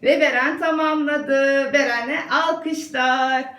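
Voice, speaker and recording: High-pitched, encouraging woman. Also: fairly even intonation, takes it slowly, fairly bright tone. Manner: encouraging, instructive. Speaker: mother filming her child for a video channel. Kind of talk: casual chat with a child, narrating an activity. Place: indoors at home. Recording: echoey room, music playing. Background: music and a young child talking.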